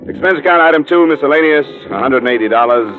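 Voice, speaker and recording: A man's voice: a little high, fast, natural voice. A man playing a tough, level-headed American investigator in an old-time radio detective drama, blunt and dry in delivery. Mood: sober, narrating. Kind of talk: 1940s-50s radio detective acting and first-person narration. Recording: narrow, muffled old radio recording, music.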